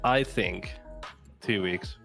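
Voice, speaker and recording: A man's voice: low voice, takes it slowly, gravelly, sharp tone. A man in loose, self-mocking banter on a casual gaming podcast. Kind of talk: casual podcast chat about a video game. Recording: music behind the voice.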